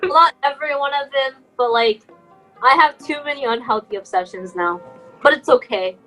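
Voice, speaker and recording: A woman's voice: high-pitched, moderate pace, a bit bright, soft background music, rough recording. A young adult woman co-hosting a casual podcast among friends, talking in an earnest, self-deprecating, enthusiastic way. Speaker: podcast co-host.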